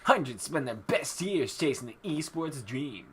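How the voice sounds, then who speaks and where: A man's voice: mid-range voice, lively intonation, unhurried. A young man hosting a let's play, joking casually and reading game text aloud. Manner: joking, bantering. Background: background music.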